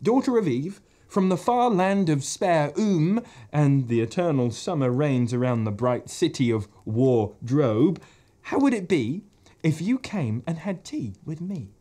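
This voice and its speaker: A man putting on a shy little faun, higher and louder than his everyday voice, tripping over his words with a courteous, anxious stammer. The tone stays dark and a bit husky, with lively rising inflections. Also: a little slow. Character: timid, stammering male faun, polite and nervous.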